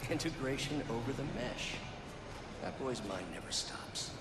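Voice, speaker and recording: An actor playing a kindly, concerned older male scientist in a video game, with a gentle, measured, fatherly manner. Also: mid-range voice, expressive, very noisy. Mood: musing. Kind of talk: naturalistic video game acting.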